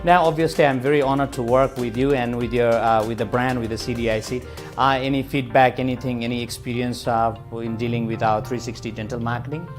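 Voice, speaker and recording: A man's voice: medium pitch, fairly even intonation, brisk, hardly any pauses. A man from Nepal interviewing a guest, enthusiastic and full of short affirmations. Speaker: interviewer, marketing agency. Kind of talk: interview chat. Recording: light music behind.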